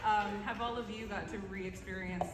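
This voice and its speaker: A woman's voice: mid-range voice, fairly even intonation, moderate pace. A middle-aged woman asking a question as a fan in the audience at a live panel in a big hall. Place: large indoor convention hall. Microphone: recorded from the audience through PA. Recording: echoey hall, distant, noisy crowd.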